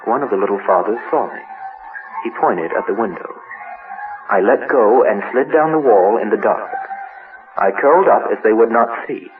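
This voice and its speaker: Guy doing a deep voiced monster kid, hurt n resentful, broken childlike grammar, horror radio play, really tinny sound. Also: normal speed, natural voice. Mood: wistful, wary. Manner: childlike broken grammar, simple short sentences. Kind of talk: first-person dramatic horror monologue. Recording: noisy, tinny narrow-band radio-like sound.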